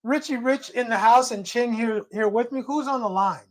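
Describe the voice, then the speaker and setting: A man's voice: very high-pitched, moderate pace. Man co-hosting a casual call-in stream, emphatic and friendly, repeats phrases. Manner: restarts often, emphatic and friendly. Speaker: livestream co-host. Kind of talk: casual call-in livestream chat.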